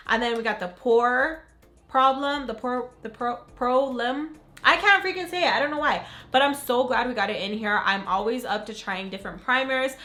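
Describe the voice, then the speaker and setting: A young woman who is sick and raspy, chatting excitedly in a casual beauty unboxing. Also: a little high, normal speed. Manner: gushing, upbeat, says "freaking" a lot. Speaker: beauty vlogger. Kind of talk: casual unboxing chat to camera.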